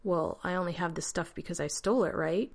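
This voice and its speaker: A woman's voice: on the low side, a little fast. Woman voicing a terse, guarded officer man. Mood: defensive. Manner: terse, understated. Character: guarded, laconic adult military officer man.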